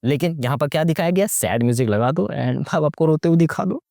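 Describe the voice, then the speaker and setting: A young man delivers mocking, slangy movie commentary. His voice sits a little high and swings widely in pitch as he fires off rhetorical questions. The sound is dry, with very little room around it. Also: lively intonation, brisk, gravelly, darkish tone, dry recording. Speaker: YouTube movie reviewer. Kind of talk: casual, sarcastic movie commentary.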